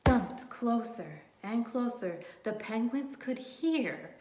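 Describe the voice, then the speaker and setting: A woman reading a storybook aloud to young children, expressively, pausing to put questions to her listeners. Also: fairly high pitch, animated, noisy background. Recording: roomy, echoing sound.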